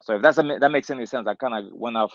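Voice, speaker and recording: A man's voice: medium pitch, rapid-fire, no echo. A man from Honduras talking casually on an online call, rambling with many restarts and fillers. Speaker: community member on a crypto call. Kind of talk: casual chat. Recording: dull lo-fi call audio.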